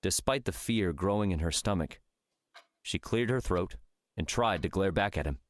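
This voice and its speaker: A man's voice: deep voice, expressive, moderate pace. A man narrating a dramatic fiction audiobook from a script.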